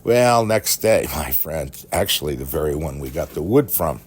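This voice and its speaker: A man telling a rambling personal story in a halting, folksy, animated way, with a gravelly, husky voice. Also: extremely low pitch.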